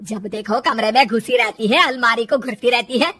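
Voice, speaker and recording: A woman's voice: a little high, moderate pace, pushed and bright, breathy edge, voice pushed down. A woman voicing a bad-tempered, bossy older mother-in-law who scolds and taunts sarcastically in a story. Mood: irritated scolding.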